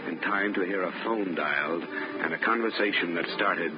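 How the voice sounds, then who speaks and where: An actor as a low-voiced, wry detective on old radio. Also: male voice, normal speed, natural voice. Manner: dry, wry, hard-boiled. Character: hard-boiled male insurance investigator narrating. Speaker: narrator and lead. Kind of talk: 1950s radio drama narration. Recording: muffled old radio broadcast with loud hiss.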